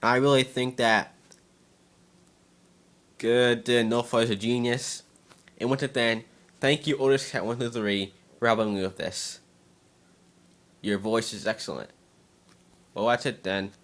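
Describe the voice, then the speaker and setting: A man's voice: mid-range voice, steady tone, measured pace, pauses often, fairly bright tone. A man reading aloud as an amateur narrator, now and then stumbling over his words. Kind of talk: dramatic story reading by an amateur.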